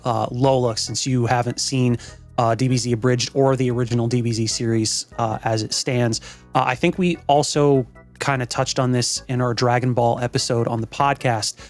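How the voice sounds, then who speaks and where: A man with a medium-pitched voice hosts a reaction show in a loose, rambling style. He talks informally and swears freely. Faint music plays underneath. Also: a little mellow. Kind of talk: casual show intro and chat.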